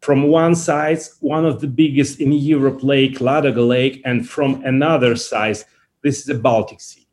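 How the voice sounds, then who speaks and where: A man from Russia with a Russian accent, answering interview questions in halting, non-native English. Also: medium pitch, steady tone, a little mellow. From Saint Petersburg, Russia. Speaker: interview guest, company founder.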